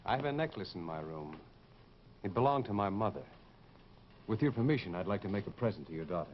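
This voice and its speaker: An actor playing a courtly, insistent suitor with a hidden vengeful streak in an old radio horror drama. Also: male voice, on the low side, expressive, fast talker, natural voice. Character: suave, intense English suitor hiding a vengeful nature. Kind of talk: 1940s radio horror acting. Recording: dull, noisy old radio broadcast.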